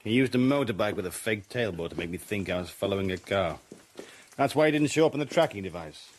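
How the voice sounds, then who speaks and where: A sceptical secret agent in an espionage radio drama, acted by a man. His voice is fairly low, and he probes and accuses in a dry, understated way. The recording carries slight noise. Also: animated, fast talker, natural voice. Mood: dry accusing. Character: sceptical, accusing male Cold War spy. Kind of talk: BBC radio drama acting.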